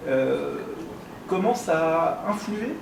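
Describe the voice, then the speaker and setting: A man making critical, analytical points in a discussion, with academic wording and halting phrasing. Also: fairly high pitch, expressive, creaky. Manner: hesitant phrasing, articulate, academic vocabulary. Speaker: discussion participant, longtime game observer. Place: convention room. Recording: echoey room, distant mic, background noise.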